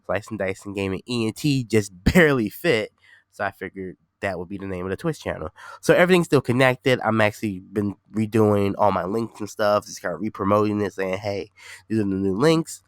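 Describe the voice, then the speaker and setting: A fairly low-pitched man talks through his solo podcast, his voice nasal and stuffed up from a cold. He rambles easily, circling back and repeating himself, and the close, dry recording keeps his voice clean and smooth. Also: brisk, no echo. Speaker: podcast host. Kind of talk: casual solo podcast talk.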